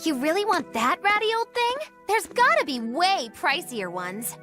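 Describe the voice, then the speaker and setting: A woman's voice: very high voice, big pitch swings, normal speed, sharp tone, soft background music, heavily acted. A woman playing a flippant, theatrical young witch and fortune teller in a video game, speaking in teasing, grandiose, old-fashioned phrases. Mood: teasing, breezy.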